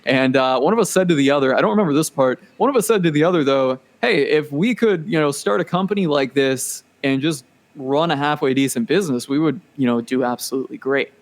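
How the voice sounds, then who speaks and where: Brief: late-20s man, guest on a video-call chat podcast, lively storyteller, laughs easily. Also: on the high side, fast talker. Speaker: podcast guest, business owner. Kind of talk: casual interview chat.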